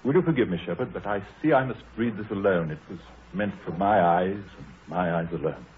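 An actor playing a troubled, anxious country gentleman, grave, hesitant and formal, in a 1930s radio drama. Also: sits low, lively intonation, brisk. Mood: fearful, grave. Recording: muffled, noisy 1930s radio recording.

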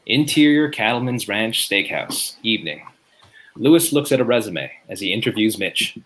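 A man's voice: lowish voice, lively intonation, moderate pace, a bit bright, low-quality recording. Man reading sitcom stage directions. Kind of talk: scripted narration of a sitcom script. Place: indoors at home on a video call. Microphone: video call.

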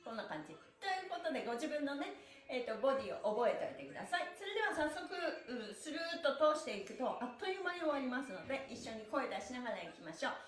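A middle-aged woman teaches exercise with a high, very lively voice. She is upbeat and chatty, speaking politely to viewers with bright exclamations. The sound is roomy, as if recorded in a small bare room a little way from the camera. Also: quick pace. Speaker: stretching instructor and live-stream host. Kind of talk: cheerful exercise instruction to viewers.

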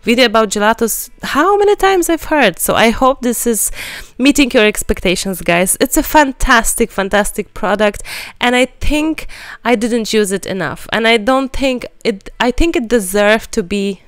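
A woman from Poland with a Polish accent, chatting happily as she works through a craft tutorial, a little hoarse and rambling. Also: fairly high pitch, normal speed, dry recording. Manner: bubbly, rambling, lively chatter. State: slightly hoarse, clears throat. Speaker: craft channel host. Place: indoors at a craft table.

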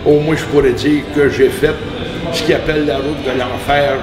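A man's voice: on the high side, flat delivery, moderate pace. A man with a Quebec French accent casually sharing his personal recollections of a road trip. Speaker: trip participant. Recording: echoey, distant mic, music behind.

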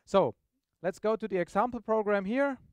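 High-pitched man presenting. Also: normal speed, clear, resonant tone, dry recording, studio quality. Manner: informal, explanatory, checks in with questions. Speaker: software presenter. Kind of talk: live technical demo to an audience. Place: meetup presentation room, on stage.

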